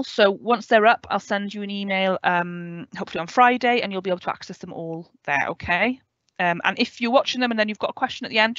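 A woman's voice: medium pitch, fairly bright tone, no room sound. A woman in her thirties from West Wales with a Welsh accent, giving an online orientation talk and answering questions, often checking in with "okay?". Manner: clear, friendly explaining, checks in with "okay?". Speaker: student adviser. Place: home room with a window. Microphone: headset. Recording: dry, dull video call audio.

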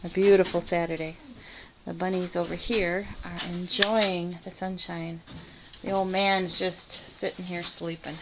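A woman's voice: on the low side, little pitch movement, unhurried, noisy background. A woman from Michigan chatting casually as she films her barn chores, laughing often. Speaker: farm vlogger. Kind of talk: casual narration while doing chores. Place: barn, indoors.

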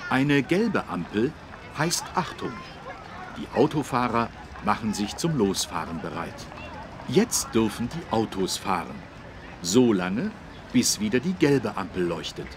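A man's voice: on the low side, big pitch swings, unhurried. An actor playing a friendly policeman who patiently explains things to children in a kids' game. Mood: patient, instructive. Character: friendly adult male policeman explaining road safety. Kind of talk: scripted children's game dialogue. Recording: street traffic noise behind. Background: city street traffic.

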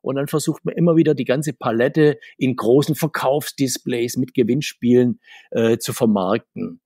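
A man's voice: medium pitch, normal speed, mellow, slightly rough, dry recording, clean studio sound. A man in his sixties, a guest on a video-call interview, talking in a rambling, chatty way and laughing between points. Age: 60s. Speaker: interview guest, comics marketer. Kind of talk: casual interview talk. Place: home room full of figures.

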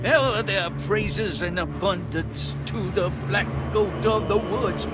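A fervent male cultist from a horror game. He declaims slowly at a very high pitch, with loud music behind. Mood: chanting. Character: fervent man in a cult ritual. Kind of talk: cinematic game drama dialogue.

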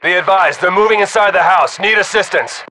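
A man playing a tense, tough special forces squadmate in a game, with clipped, forceful callouts. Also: sits high, a little fast, rough recording. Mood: focused. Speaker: soldier in squad. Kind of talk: military game combat callouts.

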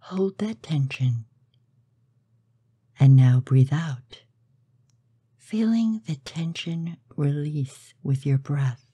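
Slow, mellow low-voiced woman guiding meditation. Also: lively intonation, husky, no room sound. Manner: soothing, measured, softly spoken with pauses. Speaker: guided meditation narrator. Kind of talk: scripted guided meditation.

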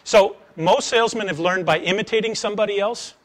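High-pitched American man giving a talk. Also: a little fast. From United States. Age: middle-aged. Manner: animated storytelling, rhetorical questions. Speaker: speaker giving a talk, former engineer turned sales trainer. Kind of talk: conversational public lecture with acted-out anecdotes. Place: attic seminar room with wooden floor. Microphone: lapel mic.

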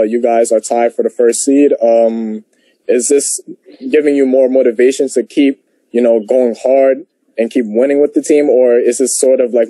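A man's voice: mid-range voice, mellow, no room sound, poor audio. A male reporter asking a hesitant question at a press conference over a video call. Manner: hesitant, filler-laden questioning.

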